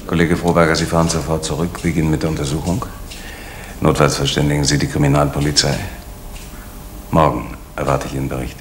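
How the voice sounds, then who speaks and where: A man's voice: very deep voice, flat delivery, fairly quick, a little hiss or hum. An actor playing a strict middle-aged male postal official and father, stern and commanding, in 1970s radio drama style. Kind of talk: 1970s East German radio drama acting.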